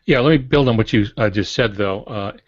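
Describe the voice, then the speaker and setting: A man's voice: on the low side, a little fast. Older man, roomy muffled sound. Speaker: podcast co-host, writing instructor. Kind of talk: explanatory panel discussion on fiction writing. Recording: muffled, roomy lo-fi podcast audio.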